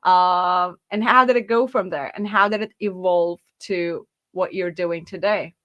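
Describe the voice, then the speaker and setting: A woman's voice: lowish voice, steady tone, a little slow, fairly bright tone, muffled recording. A woman hosting an interview, friendly and chatty, laughing often and using many fillers. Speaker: interview host. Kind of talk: casual interview.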